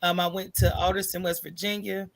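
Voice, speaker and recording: Middle-aged woman with a creaky voice. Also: fairly low pitch, little pitch movement, brisk, no room sound. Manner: candid, informal. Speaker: podcast guest, small business owner. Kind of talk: conversational personal storytelling.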